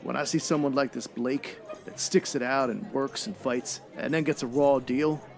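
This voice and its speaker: A man playing a courteous, soft-hearted drifting gambler in a radio western, gravelly, with an American accent and an earnest, halting way of talking. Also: medium pitch, fairly even intonation, lightly acted. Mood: earnest, hesitant. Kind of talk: old-time radio western acting.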